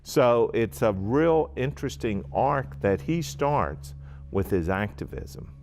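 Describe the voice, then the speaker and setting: A man's voice: medium pitch, sing-song, takes it slowly, darkish tone, faint music behind. A man giving explanatory interview talk as a history expert in a documentary.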